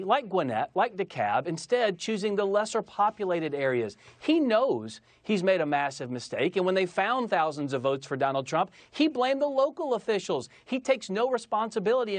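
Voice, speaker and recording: A man's voice: high-pitched, no echo. A man speaking as a political spokesman in a TV interview, arguing emphatically and combatively with repeated phrases for stress. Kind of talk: argumentative TV interview talking points.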